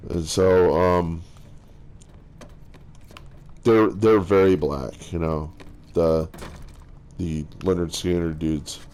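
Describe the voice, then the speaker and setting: A man with a strong bass voice, chatting casually and haltingly as a streamer, with lots of fillers and restarts. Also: fairly even intonation, darkish tone. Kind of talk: casual rambling chat.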